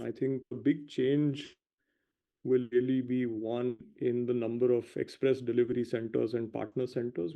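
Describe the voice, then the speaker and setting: A male company executive giving measured, explanatory answers on an earnings call, hedging and pausing between short phrases. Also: mid-range voice, gravelly. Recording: dull lo-fi conference call audio.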